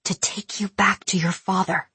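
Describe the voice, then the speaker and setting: A woman voicing a brave young heroine who speaks softly and earnestly. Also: mid-range voice, flat delivery, moderate pace, husky, no echo. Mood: firm. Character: brave, gentle young heroine rescuing a boy.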